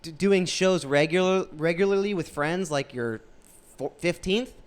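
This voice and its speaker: A man's voice: sits high, a little slow, clear, resonant tone. A man in his late twenties co-hosting a podcast, chatting in a rambling, laid-back way. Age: 20s. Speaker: podcast co-host. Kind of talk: casual podcast chat.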